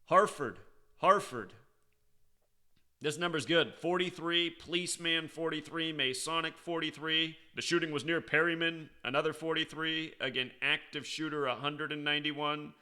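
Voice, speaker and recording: An American man hosts an off-the-cuff explainer in a slightly high, very sharp and forceful voice. His sound is clean and crisp. He is insistent and sarcastic, hammering key words by repeating them. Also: steady tone, normal speed. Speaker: online commentator and video host. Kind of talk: unscripted explanatory commentary.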